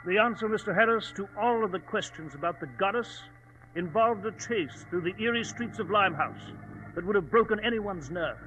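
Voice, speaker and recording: An anxious, loyal gentleman in an old radio drama. His voice is high and bright, formal and earnest, with music in the broadcast. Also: fairly quick, poor audio. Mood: grave storytelling. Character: Doctor Watson, anxious, loyal gentleman companion and narrator. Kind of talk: 1940s radio drama acting.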